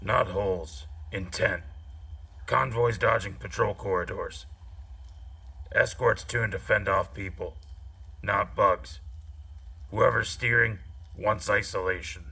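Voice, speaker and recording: Casting: cynical war veteran, deep, gravelly, terse sci-fi audio drama delivery. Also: male voice, slow pace, long pauses, bright, forceful tone, exaggerated voice. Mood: brooding. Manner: terse, brooding. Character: guarded, battle-worn male soldier, cynical and terse.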